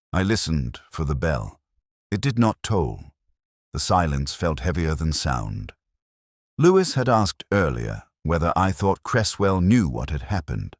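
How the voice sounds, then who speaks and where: A man playing a weary, melancholy English police detective, narrating a radio mystery in a measured, literary, introspective manner. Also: very deep voice, no echo, studio quality, exaggerated voice. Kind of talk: slow literary first-person detective narration, radio drama.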